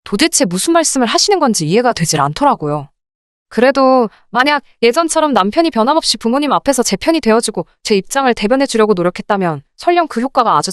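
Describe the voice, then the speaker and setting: A woman reading a scripted first-person story in an expressive, indignant radio drama style. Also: a little high, no room sound, studio quality. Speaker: narrator of dramatized listener stories.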